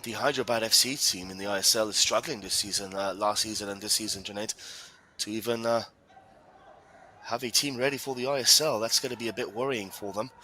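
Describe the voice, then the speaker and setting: Chatty football commentator from Gibraltar. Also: male voice, lowish voice, steady tone, fairly quick, bright, forceful tone, slight background noise. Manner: chatty, reads viewer questions aloud. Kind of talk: live play-by-play commentary.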